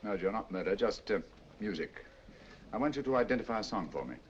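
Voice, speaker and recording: A man playing Sherlock Holmes, cool and crisp. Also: lowish voice, animated, dull audio, slight background noise, lightly acted. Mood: measured. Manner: crisp, measured, lightly ironic. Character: sharp, cool-headed English detective, middle-aged man. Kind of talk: 1940s film acting.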